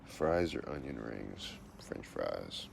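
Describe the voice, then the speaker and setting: Man, 40s, deep voice. Reads questions and answers them matter-of-factly. Casual self-interview, noisy recording. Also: big pitch swings, slow pace, creaky. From Texas, USA. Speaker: vlogger answering a question tag.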